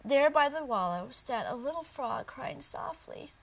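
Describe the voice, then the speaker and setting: A woman narrating a children's picture book in a deliberate, expressive storytelling manner. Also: high-pitched. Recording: distant from the microphone.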